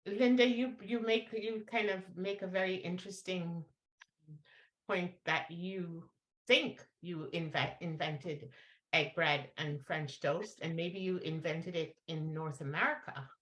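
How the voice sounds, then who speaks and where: Woman, from Guyana, panel facilitator, halting speech, low voice, echoey room. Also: fairly even intonation, a bit bright. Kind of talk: online panel discussion.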